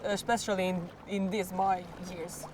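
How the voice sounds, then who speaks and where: A teenage girl from Croatia, speaking English with a Croatian accent, answering interview questions about singing in a klapa. She sounds a little hoarse and often pauses to search for words. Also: on the low side, little pitch movement, noisy background. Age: teens. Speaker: klapa singer.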